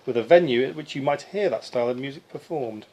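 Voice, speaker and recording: Man, mid-pitched, live quizmaster reading out questions, faint noise around him. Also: expressive, quick pace, a little mellow. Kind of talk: live pub-style quiz reading.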